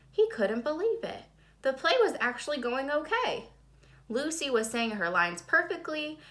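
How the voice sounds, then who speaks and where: A woman reading a children's storybook aloud in an expressive, dramatic, suspenseful way. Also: fairly high pitch. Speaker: storybook reader.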